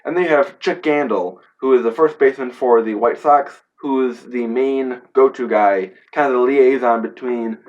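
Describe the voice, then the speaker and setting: Male teacher type, stuffed up with a cold, loose and rambling delivery with lots of "you know" and "uh", medium pitch, on a muffled, lo-fi recording. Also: moderate pace, hardly any pauses. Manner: rambling, with many fillers and playful asides. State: congested from a cold. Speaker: history teacher reviewing a film. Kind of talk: casual classroom-style movie review.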